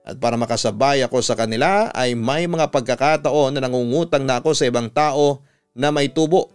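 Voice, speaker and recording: A man's voice: medium pitch, brisk, clear, resonant tone. A Filipino man who works as a radio announcer, reading out a listener's story as dramatic scripted narration. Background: music behind the voice.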